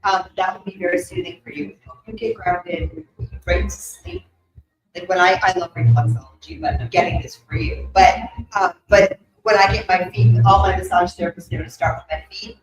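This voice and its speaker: A woman giving intuitive readings to a live audience, chatty and rambling, with many fillers and asides. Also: fairly low pitch. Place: event room with an audience. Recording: echoey room.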